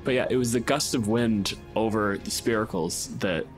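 Low-voiced man running a tabletop game. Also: normal speed, soft background music. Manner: sweary, teasing, informal. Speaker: game master. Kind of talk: casual tabletop game narration.

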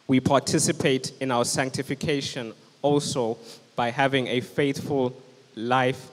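A young man delivers an earnest, urgent sermon into a handheld microphone. His mid-pitched voice rings out with a long hall reverb on the church stage. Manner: earnest, urgent preaching, standing with a handheld mic. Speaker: preacher. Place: church stage with band instruments, indoors.

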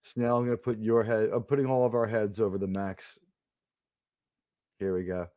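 A loud, profane man hosting a live watch party from his home room. His voice is dry and close on the desk mic, with a middling pitch and a joking, blunt way of talking. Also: steady tone, moderate pace, no room sound. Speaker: streamer host. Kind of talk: casual watch-party chat.

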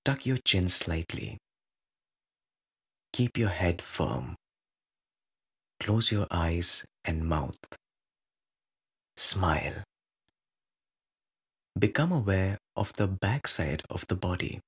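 A man guiding a meditation in a calm, measured way, leaving long pauses between short instructions. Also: sits low, breathy edge. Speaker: meditation guide. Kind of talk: guided meditation, slow soothing instruction.

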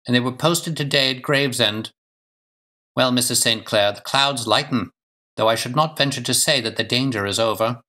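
Frank, deductive gentleman detective for audiobook, male reader, medium pitch, clipped and precise. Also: moderate pace, close to an everyday voice. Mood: cautious, frank. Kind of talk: audiobook character dialogue.

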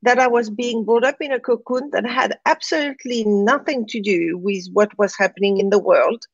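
A woman's voice: a little high, moderate pace, no echo, muffled recording. A woman with a French accent, speaking reflectively as a guest in an interview and often checking in with "right?". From France, raised in Morocco. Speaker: guest, transformation coach.

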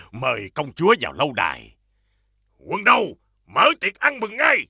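Pompous cartoon duke, raspy and sharp, high swooping voice, bombastic, commanding. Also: male voice, wildly swooping pitch, takes it slowly, no room sound, clean studio sound, heavily acted. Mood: eager, commanding. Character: excitable pompous duke greedy for a princess. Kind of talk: Vietnamese animated fairy tale dubbing.

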